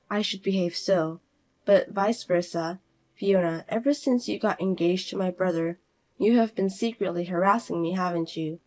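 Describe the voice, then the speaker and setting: A woman voicing a calm, cool-headed young working woman who speaks quietly and firmly, narrating in the first person. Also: mid-range voice, lightly acted.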